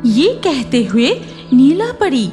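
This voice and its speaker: High, animated woman storyteller, slow paced. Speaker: narrator. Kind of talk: scripted fairy-tale cartoon narration. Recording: faint music behind the voice.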